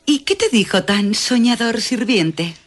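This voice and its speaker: Sensible, reproving household governess; raspy edge, very varied intonation, radio drama. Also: female voice, fairly high pitch, expressive, a little slow. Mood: scolding, incredulous. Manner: reproving, dismissive, exclamatory. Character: Madame Perrodon, sensible, scolding female household governess. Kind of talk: period radio drama dialogue.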